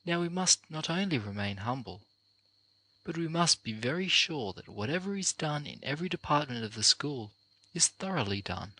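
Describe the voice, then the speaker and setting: A man reads a scripted motivational address as an audiobook narrator. His voice sits a little high and has a bright, forceful edge. He delivers it earnestly and deliberately, phrase by phrase like an orator, in a very dry, close recording. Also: sing-song, no room sound, poor audio.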